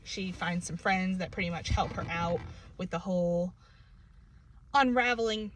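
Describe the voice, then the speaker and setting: A woman's voice: fairly low pitch, unhurried, slight background noise, poor audio. A woman from New England with a local accent, casually reviewing a book from inside her car, rambling with lots of fillers. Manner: rambling, filler-heavy, many "like" and "um". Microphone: camera in the car.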